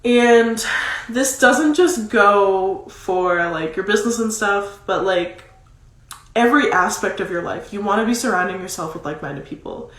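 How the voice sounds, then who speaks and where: A woman speaks as a motivational coach in a casual, emphatic way. Her voice is nasal and stuffed up with a cold, at an average pitch. She repeats key phrases to drive them home, and the room adds a clear echo. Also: normal speed, fairly bright tone. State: congested with a cold. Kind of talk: motivational advice talk, casual. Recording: roomy, echoing sound.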